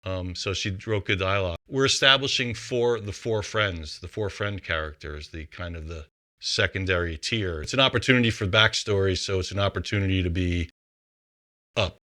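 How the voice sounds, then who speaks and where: Low-voiced man talking through his craft. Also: normal speed, bright, forceful tone. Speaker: composer and songwriter. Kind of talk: casual explanation of songwriting.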